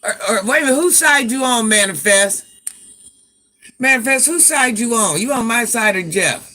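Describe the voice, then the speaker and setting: A grandmother with a deep voice, chatting on a livestream and talking back to commenters with sass. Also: lively intonation, normal speed, bright, forceful tone. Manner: sassy, talks back to commenters by name. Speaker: livestream host. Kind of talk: casual livestream chat.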